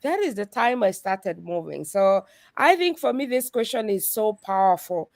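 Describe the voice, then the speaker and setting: A woman's voice: medium pitch, very animated, moderate pace, vocal fry, dry recording, clean studio sound. A woman from Kenya, a guest sharing her faith and business story in an earnest, testimonial way. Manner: earnest, testimonial, with soft laughs. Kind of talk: faith-based conversation.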